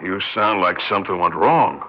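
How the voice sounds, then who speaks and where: A plain-spoken, curious buddy in a 1960s radio drama. His middle-pitched voice moves in lively swings. The recording sounds dull and dated. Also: moderate pace. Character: plain-spoken, curious male pal listening to story.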